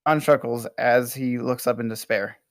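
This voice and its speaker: Halting male script narrator, dry sound. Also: medium pitch, steady tone, no echo. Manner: halting reading with stumbles. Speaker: stage-direction narrator. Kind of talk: scripted narration at amateur table read.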